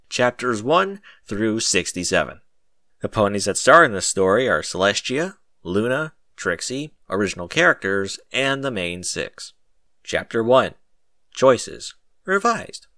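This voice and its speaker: A man reading a story as animated scripted narration, recorded close and dry. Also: medium pitch, no room sound. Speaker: narrator of a fan fiction audiobook.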